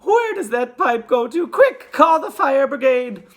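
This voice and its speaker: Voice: sits high, sing-song, unhurried, fairly bright tone, voice pushed up, full character voice. A storybook reader voicing a frantic, bossy mother who screeches and scolds in panic. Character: Missus Gloop, shrill frantic scolding mother.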